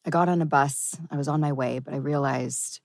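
Creaky low-voiced shaken runaway woman an actress. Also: fairly even intonation, fairly quick, a little mellow, no room sound, clean studio sound. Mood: shaken, pleading. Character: shaken, regretful woman on the run. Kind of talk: table read of TV drama.

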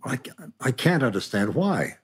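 A man playing a proud, wealthy older father, grudgingly tender, in a drama table read, with a husky voice. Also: mid-range voice, big pitch swings, unhurried, exaggerated voice. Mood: troubled.

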